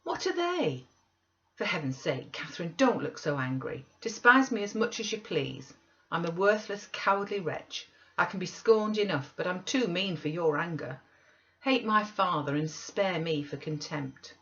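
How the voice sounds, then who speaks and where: Woman acting a whining, frightened young man, pitched up. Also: lively intonation, a bit bright, lo-fi sound. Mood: wretched, pleading. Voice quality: strained, halting delivery. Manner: abject, pleading. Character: frightened, sickly, self-loathing young man, whining and pleading.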